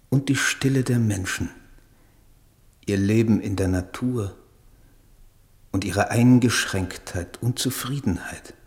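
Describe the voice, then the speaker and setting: Troubled idealist poet: low husky voice, solemn elevated delivery for radio drama. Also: male voice. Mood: melancholy awe. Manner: solemn, declamatory, elevated diction. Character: idealistic, troubled male German poet in letters. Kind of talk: literary radio drama monologue.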